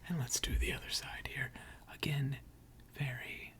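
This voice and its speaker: A person whispering an ASMR repair roleplay in a breathy, gentle and reassuring way, with unhurried, hesitant pauses. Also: mid-range voice, fairly even intonation. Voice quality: breathy, mostly unvoiced whisper. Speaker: ASMR creator role-playing a robot repair technician.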